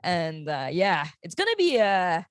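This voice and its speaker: A woman hosts a relaxed podcast panel at a very unhurried pace. Her phrasing is halting and hesitant, and the sound is close and dry, with hardly any room to it. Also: fairly low pitch, clean tone, dry recording. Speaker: podcast host. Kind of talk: casual panel conversation.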